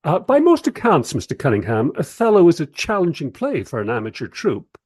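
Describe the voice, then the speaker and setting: An actor in a radio play voicing a polite, dryly sceptical radio interviewer with a measured, understated manner. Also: male voice, a little high, huge pitch swings, darkish tone, breathy edge, no echo, studio quality, heavily acted. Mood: tactful, hesitant.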